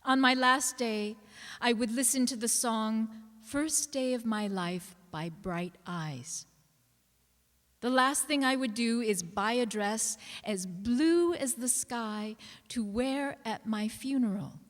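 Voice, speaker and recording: Actress for dramatic literary reading, unhurried delivery, long hall reverb. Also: female voice, fairly high pitch. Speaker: actor giving a dramatic reading. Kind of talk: dramatic reading of personal writing.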